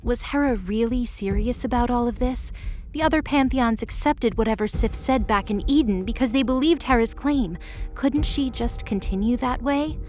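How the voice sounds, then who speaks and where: A woman's voice: a little high, moderate pace, darkish tone. A woman reading scripted present-tense story narration as the narrator of an audio drama. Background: background music.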